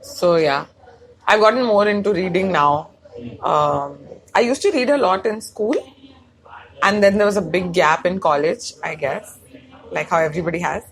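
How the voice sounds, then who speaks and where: A young woman with a Bangalore Indian English accent talks in a loose, rambling way, switching between English and Hindi mid-sentence. Her voice sits on the low side and carries a gravelly, creaky texture. She sounds like a comic chatting offhand about her life. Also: normal speed, rough recording. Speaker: stand-up comic and comedy writer. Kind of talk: casual chat.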